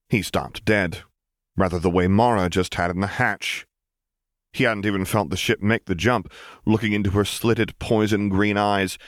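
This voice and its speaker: Male audiobook narrator: low register, very varied melody, expressive story delivery, dry recording. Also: no room sound.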